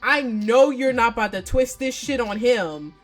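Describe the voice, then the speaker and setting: A woman giving casual, slangy and profane live commentary as she plays a visual novel, exclaiming in an animated way. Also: a little high, a little slow, faint music behind. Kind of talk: casual game livestream commentary.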